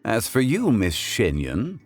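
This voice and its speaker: A wise, courteous gentleman scholar from a fantasy game. His voice sits low and moves expressively through formal, measured phrases, with music underneath. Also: wildly swooping pitch, full character voice. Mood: courteous, composed. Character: refined, unhurried gentleman consultant of ancient wisdom. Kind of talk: scripted video game dialogue.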